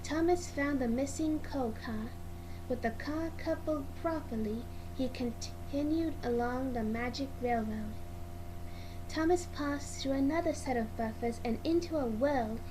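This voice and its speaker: High-pitched, slow-paced woman narrating a storybook. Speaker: read-along narrator. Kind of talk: scripted children's storybook narration. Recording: clearly noisy amateur recording.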